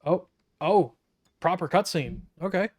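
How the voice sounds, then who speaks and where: A man's voice: sits high, lively intonation, a little slow, no echo. Sarcastic gaming man, dry close sound. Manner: sarcastic, irreverent, sweary. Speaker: game streamer. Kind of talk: casual gameplay commentary.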